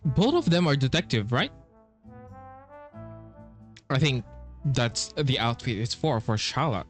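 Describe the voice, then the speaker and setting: A man's voice: medium pitch, animated, unhurried. A man giving casual playthrough commentary, musing aloud in a hesitant way. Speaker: game streamer. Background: faint game music.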